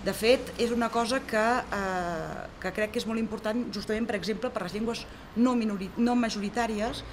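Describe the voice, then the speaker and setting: A woman's voice: a little high, moderate pace, noisy background. A woman with a Catalan accent giving a reflective spoken answer as a language specialist. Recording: music playing under the voice.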